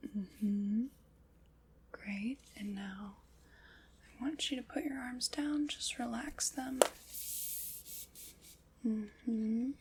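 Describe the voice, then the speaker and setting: Woman whispering as a calm skeleton armorer. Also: fairly high pitch, very slow, long pauses, background noise. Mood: gentle instructive. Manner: soft-spoken, polite step-by-step instructions. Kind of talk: whispered ASMR roleplay.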